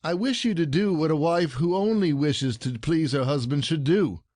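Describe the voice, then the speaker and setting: An indignant husband, acted by a man in a classic stage comedy audio play. His voice sits fairly high and is recorded dry and close. He scolds in formal phrasing, proud, jealous and sarcastic. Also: normal speed, darkish tone, no echo, lightly acted.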